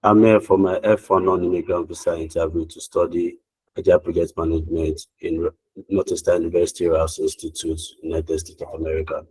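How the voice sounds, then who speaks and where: A man's voice: low-pitched, flat delivery, a little fast, a little mellow, breathy edge, no echo, rough recording. A man answering mock student visa interview questions politely and formally, with some hesitation.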